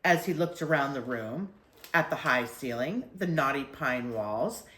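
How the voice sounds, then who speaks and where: An older woman reading a children's book aloud as an expressive storyteller. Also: extremely low pitch, a little slow. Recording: echoey room.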